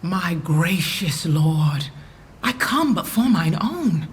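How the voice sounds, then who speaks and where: A man acting a formal, assertive noble rebel in a Shakespearean radio play, speaking in measured, courtly verse. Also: a little high, very animated, slight background noise. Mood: courtly, firm.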